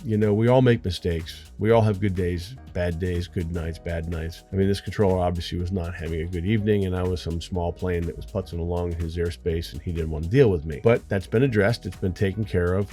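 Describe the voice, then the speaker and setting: A man's voice: on the low side, warm tone. An American man, a flight instructor, talking to viewers in an earnest, conversational storytelling way. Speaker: flight instructor hosting an aviation channel.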